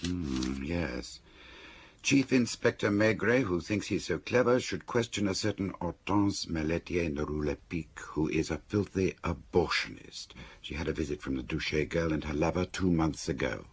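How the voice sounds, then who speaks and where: An actor playing a detective's dry, probing confidant in a radio drama. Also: male voice, sits low, expressive, normal speed, breathy edge, heavily acted. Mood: inquiring. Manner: questioning, dry.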